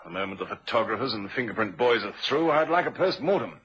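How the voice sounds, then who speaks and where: A man playing a methodical police inspector in a vintage radio crime drama, speaking with measured, probing authority. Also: lowish voice, animated, a bit bright, husky, full character voice. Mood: brisk, businesslike. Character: male police inspector leading a death inquiry, methodical and brisk. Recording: dull, noisy vintage radio recording.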